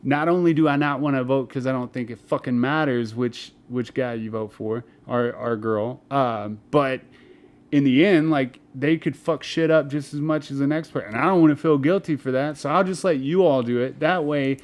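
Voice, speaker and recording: A man's voice: medium pitch, fairly even intonation, normal speed. A young man co-hosting a podcast in casual banter, telling rambling stories full of swearing and filler words. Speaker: podcast co-host.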